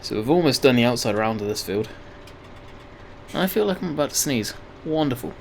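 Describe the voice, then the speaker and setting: A man talks his way through a game in a relaxed, wandering way, pausing to fix his own words as he goes. His voice sits at an ordinary pitch and is a little croaky. A faint engine hum from the game runs underneath. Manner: rambling, chatty, self-correcting. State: slightly croaky voice. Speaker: gaming video host. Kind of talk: casual gameplay commentary.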